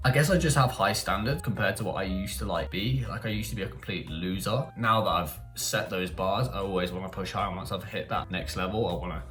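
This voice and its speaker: A young man with a low-pitched voice and a London-leaning English accent chats casually to camera. He rambles in a candid, informal way, like a YouTuber answering personal questions. Guitar music plays behind him. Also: fairly quick, few pauses, fairly bright tone. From Marbella, Spain. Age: young adult. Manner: informal, candid, rambling with frequent "like" and "you know".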